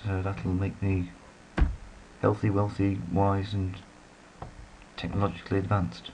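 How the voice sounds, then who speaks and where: A man's voice: deep voice, monotone, a little slow, darkish tone, a little hiss or hum, poor audio. A man giving rambling, casual commentary while playing a strategy game, full of self-deprecating asides. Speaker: let's play commentator.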